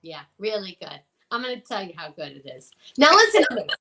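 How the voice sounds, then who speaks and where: A woman playing a frazzled, sharp-tongued department store employee in an amateur old-time radio drama, emphatic, slangy and exasperated. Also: medium pitch, huge pitch swings, brisk.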